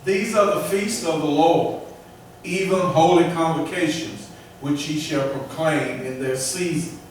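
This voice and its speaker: A man's voice: on the high side, measured pace. Distant man reading scripture, heavy echo. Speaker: scripture reader at a church lesson. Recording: very echoey room, distant mic, faint noise.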